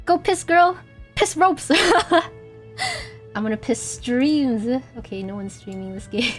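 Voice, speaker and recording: A woman streaming a game, her voice high but going hoarse after a long session. She chatters, giggles and makes crude jokes. Soft music runs in the background. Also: big pitch swings, slow. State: hoarse, voice tiring. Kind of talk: casual gaming stream chat.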